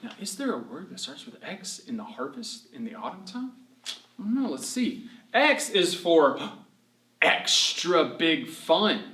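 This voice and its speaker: High, bright-voiced man, roomy sound. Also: measured pace. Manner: animated, encouraging, asks questions and answers them. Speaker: children's ministry storyteller. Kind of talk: read-aloud story lesson for children. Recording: roomy echo, distant microphone.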